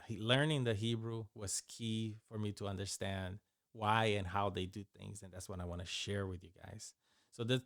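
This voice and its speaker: A man born in Brazil and raised mostly in the United States, with Portuguese as his first language, talks through a Bible course as its teacher. His voice sits a bit low and comes across dry and close. He speaks loosely, stopping and restarting with frequent fillers. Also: fairly bright tone, no room sound. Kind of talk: informal course overview.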